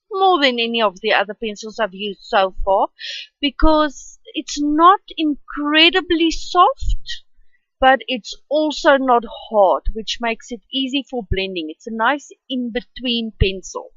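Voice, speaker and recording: A woman's voice: a little high, a bit bright, dry recording, lo-fi sound. A woman with an Afrikaans accent, whose first language is Afrikaans, chats and rambles with many ums while narrating her drawing at home. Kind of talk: casual voice-over for a speed drawing.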